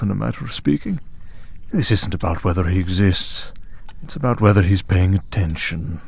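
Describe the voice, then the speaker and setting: Audio-drama villain: a man as an urbane vampire, low and creaky, taunting with leisurely theatrical polish. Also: a little mellow. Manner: smooth, mocking, leisurely and theatrical. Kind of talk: scripted audio roleplay drama.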